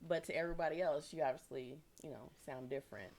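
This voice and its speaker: A young woman with a deep voice, telling a story in casual chat and laughing often. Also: quick pace, vocal fry, darkish tone.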